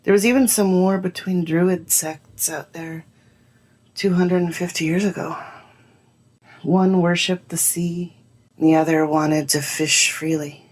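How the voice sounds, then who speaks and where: An actress playing a thoughtful woman musing on dark local history in a measured, journal-like audio drama monologue. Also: lowish voice, fairly even intonation. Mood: pensive. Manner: measured, pensive.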